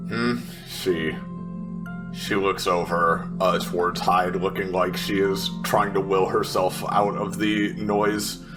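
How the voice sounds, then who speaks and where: A man playing a tabletop roleplaying game, casually narrating his character in a hesitant, halting way. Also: sits low, flat delivery, loud music behind the voice, rough recording.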